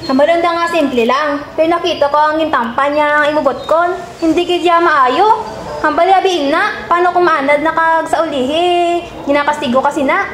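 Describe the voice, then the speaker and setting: A woman's voice: sits high, moderate pace, fairly bright tone. A woman playing a worried, protective, scolding mother in an amateur radio drama, with a Western Visayan accent. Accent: Western Visayan Philippine. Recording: roomy echo with faint noise.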